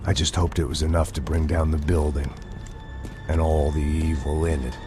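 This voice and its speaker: An actor playing a cynical middle-aged American ex-cop, narrating his downfall in a brooding, deadpan hardboiled style for a video game. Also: extremely low pitch, moderate pace. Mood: bitter, brooding. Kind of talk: hardboiled noir scripted narration. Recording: voice over loud music.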